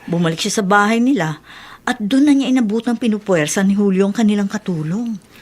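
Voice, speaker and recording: A woman's voice: medium pitch, fairly quick, darkish tone, close to an everyday voice. A Filipino woman playing a chatty, respectful teacher in a radio drama, telling things in a conversational, deferential way. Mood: confiding.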